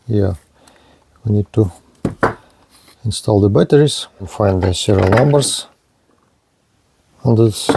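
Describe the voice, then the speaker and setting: A man giving a hands-on product demonstration in halting short phrases, with non-native English phrasing. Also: on the low side, gravelly, background noise. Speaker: radio reviewer.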